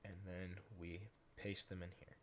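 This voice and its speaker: A man casually talking through a software tutorial step by step, in an informal way. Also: low voice, little pitch movement, unhurried. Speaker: tutorial host, gaming content creator. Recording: noisy home recording with keyboard clicks.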